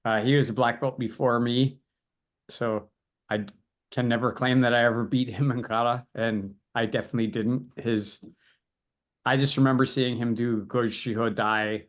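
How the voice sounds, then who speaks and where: Mid-pitched man chatting on a panel. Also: steady tone, normal speed. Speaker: karate practitioner, podcast panelist. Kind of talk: casual chat.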